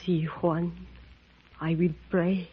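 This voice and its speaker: Husky, grave, commanding señora. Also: female voice, on the low side, level tone, slow, full character voice. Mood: grave, mournful. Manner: formal, dramatic, uncontracted speech. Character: grave, commanding, mysterious Latin American woman ally. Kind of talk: old-time radio drama acting. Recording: muffled old radio recording.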